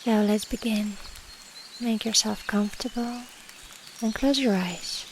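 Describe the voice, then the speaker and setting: A woman guiding a meditation in a soft, soothing, measured way with long pauses and breathy endings. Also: medium pitch. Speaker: meditation guide. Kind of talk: slow guided meditation. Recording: steady rain noise behind the voice. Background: rain and nature ambience.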